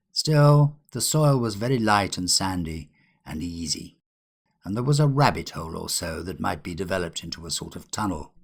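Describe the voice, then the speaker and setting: Man acting a haunted young antiquarian, low and husky. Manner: muttering, resigned, halting. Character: nervous, despairing young male antiquarian, haunted and guilty.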